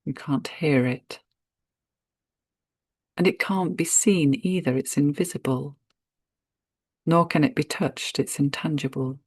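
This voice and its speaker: Female meditation guide, very slow gentle delivery with spacious pauses, dry recording. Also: deep voice, breathy edge, no echo. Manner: unhurried, gentle, spacious pauses between short phrases.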